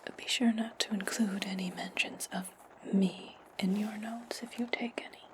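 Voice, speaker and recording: A woman playing an imperious, scheming witch in a breathy, condescending whisper for an ASMR fantasy roleplay. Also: mid-range voice, steady tone, slight background noise, lightly acted. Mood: condescending, instructing. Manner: slow, deliberate, condescending. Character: imperious, scheming witch giving orders to her servant.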